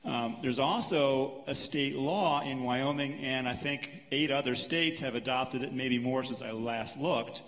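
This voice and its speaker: A man moderating a lecture Q&A, paraphrasing a question and answering it in a measured, explanatory way, counting off his points. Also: mid-range voice, a bit bright. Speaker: panel moderator. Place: lecture hall. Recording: long hall reverb.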